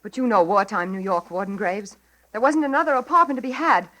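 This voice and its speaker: An actress playing a frightened, haunted young woman in 1940s radio drama, breathless, anguished and halting. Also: mid-range voice, fairly bright tone, lightly acted. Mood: bitter dread. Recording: vintage radio recording with music behind.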